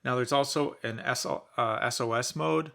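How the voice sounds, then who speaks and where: A man's voice: mid-range voice, moderate pace, vocal fry, heavy background noise. A man giving casual, conversational commentary as he reviews a product in an unboxing. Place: indoors in a basement.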